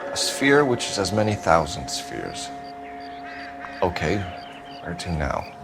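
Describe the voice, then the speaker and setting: A serious, driven police detective in a period crime game. His low voice moves expressively while staying clipped and articulate. Loud music plays behind him. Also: big pitch swings, takes it slowly, gravelly, full character voice. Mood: dry, businesslike. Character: earnest, driven male police detective in 1940s Los Angeles. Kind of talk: dramatic video game acting.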